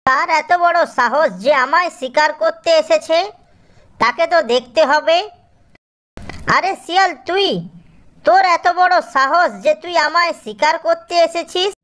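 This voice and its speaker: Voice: very high-pitched, a little slow, a bit bright, pitched above the performer's own voice. A performer voicing a proud, angry cartoon lion king who speaks imperiously and threatens. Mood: outraged, threatening. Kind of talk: children's cartoon character voice.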